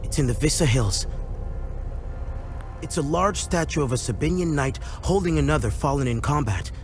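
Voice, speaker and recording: An actor playing a guarded, earnest weapons craftsman in a video game, speaking in a measured, reflective way. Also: a little high, expressive, music in the background. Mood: informative. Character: guarded, earnest male weapons craftsman, a loyal former apprentice. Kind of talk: video game dialogue acting.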